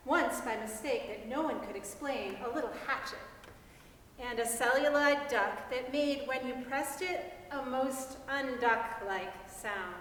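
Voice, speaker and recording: Echoey, high-voiced woman reading a story. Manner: deliberate, expressive storytelling. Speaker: reader in a church's dramatic reading. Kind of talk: dramatic literary reading. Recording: very echoey hall, distant mic, faint noise.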